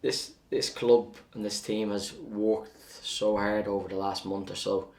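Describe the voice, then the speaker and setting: Male football coach, low gravelly voice, matter-of-fact post-match reaction, slightly off-mic. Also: little pitch movement, unhurried, darkish tone, recorded from a distance.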